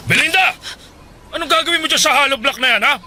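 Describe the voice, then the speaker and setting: Fed-up, high-strained Filipino husband. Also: male voice, fast talker, bright, forceful tone, heavily acted. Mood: exasperated. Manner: strained, scolding, emphatic. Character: exasperated husband who left his wife, harsh, fed up. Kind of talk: Filipino radio drama acting. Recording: music behind.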